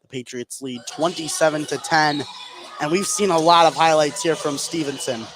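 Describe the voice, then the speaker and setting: A man's voice: a little high, fairly even intonation, normal speed. A man giving live radio play-by-play of a basketball game, following the action in clipped running phrases. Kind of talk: live radio sports commentary. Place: noisy indoor basketball gym. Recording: live broadcast with clear background noise.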